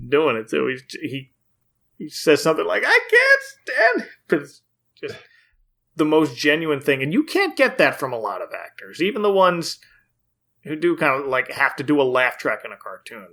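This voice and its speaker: A man's voice: medium pitch, fairly bright tone. A man hosting a podcast, recapping something casually in an enthusiastic, amused way. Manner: enthusiastic, amused, laughs often while talking. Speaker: podcast host. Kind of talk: casual episode recap chat.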